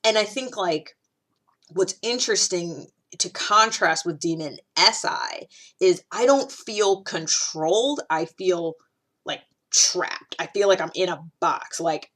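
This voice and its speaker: A woman's voice: lowish voice, fairly bright tone. A woman in her thirties from Ohio, talking casually on her podcast in a rambling way, full of restarts and fillers. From Ohio, USA. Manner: chatty, heavy use of "like", frequent restarts. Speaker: podcast host. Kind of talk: casual personal monologue.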